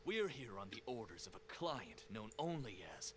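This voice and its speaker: A man's voice: fairly low pitch, big pitch swings, moderate pace, background noise. A man voicing a haughty, menacing mercenary in an anime video game, boastful and threatening.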